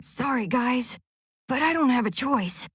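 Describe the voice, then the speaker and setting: A woman voicing a plucky, earnest young boy hero in an animated game, boyish and exclamatory. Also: high voice, a little slow, slight background noise. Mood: remorseful.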